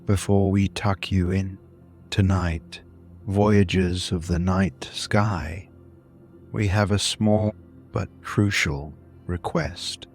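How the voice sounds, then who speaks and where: Very slow, low-voiced man telling sleep stories. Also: fairly even intonation, faint music behind. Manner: soothing, deliberate, with long pauses between short phrases. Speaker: host and narrator of a sleep story podcast. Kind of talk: soothing bedtime story narration, scripted.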